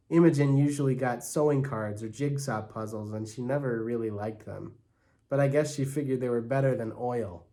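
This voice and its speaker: A man reading a storybook aloud in an animated narrating style. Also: mid-range voice. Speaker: church staff member reading aloud.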